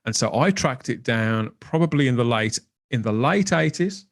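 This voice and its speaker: A man's voice: medium pitch, expressive, normal speed, gravelly. A middle-aged man chatting casually as a podcast guest, enthusiastic and rambling as he reminisces. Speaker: podcast guest, graphic designer. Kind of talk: casual chat.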